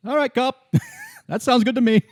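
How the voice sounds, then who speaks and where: Man doing a laid-back union picket, pitched up. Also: wildly swooping pitch, moderate pace, full character voice. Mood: easygoing. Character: lackadaisical, friendly male union picket with strong convictions.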